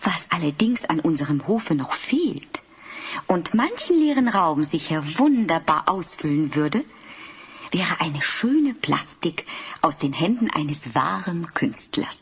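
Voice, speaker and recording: A woman's voice: lowish voice, very animated, slow, a little hiss or hum, full character voice. A woman playing a genteel, persuasive queen in a fantasy audio drama, speaking in a courtly, coaxing way. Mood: courtly persuasion. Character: genteel, persuasive queen of an icy northern realm.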